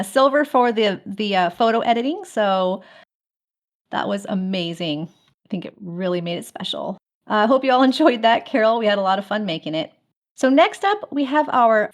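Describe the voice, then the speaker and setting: A woman hosting an online event in a casual, conversational way. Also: a little high. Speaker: host of an online fan party.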